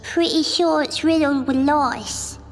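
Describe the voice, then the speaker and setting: A high, unhurried voice plays a shy young boy in a workhouse radio drama. The boy hesitates and stammers, in an echoing space. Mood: sheepish. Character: timid young workhouse boy, a disguised woman. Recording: echoey room, music behind.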